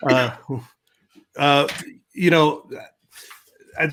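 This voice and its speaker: A man's voice: on the high side, fairly even intonation, drawn-out words, noisy background. A man hosting a casual video-call interview, asking rambling questions full of uhs and light jokes. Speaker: podcast host.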